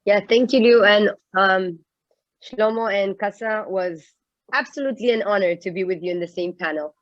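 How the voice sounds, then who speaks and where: A woman's voice: mid-range voice, moderate pace. A woman with an Israeli accent, a native Amharic speaker, chatting casually and self-deprecatingly on a video call. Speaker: panelist, diplomat. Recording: dull, lo-fi video-call audio.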